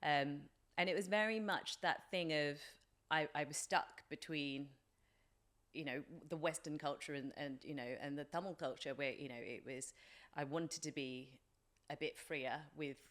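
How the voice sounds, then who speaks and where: A woman's voice: deep voice, moderate pace, a bit bright. A British woman in her forties talking reflectively about herself as an interview guest, in an informal, hesitant way with plenty of filler phrases. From England, from age three. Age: 40s. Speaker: podcast guest, life coach.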